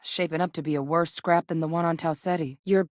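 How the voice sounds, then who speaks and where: An actress plays a no-nonsense military scientist with a lowish, level voice, brisk and composed in a dry, matter-of-fact manner. The sound is close and completely dry, with no room around it. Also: female voice, fairly even intonation, no room sound, lightly acted. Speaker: game character voice lines. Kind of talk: video game dialogue.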